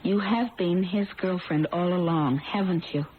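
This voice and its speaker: An actress playing a mild, lovelorn woman turned jealous avenger, speaking earnestly and deliberately in radio drama style. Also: on the low side, close to an everyday voice. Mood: accusing. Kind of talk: old-time radio drama acting. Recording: noisy, tinny old radio recording.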